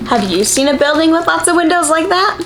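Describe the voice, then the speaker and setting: A woman reading a picture book aloud to young children, expressive and encouraging, putting simple questions to them like a preschool teacher. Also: extremely high pitch, a little fast, a little hiss or hum.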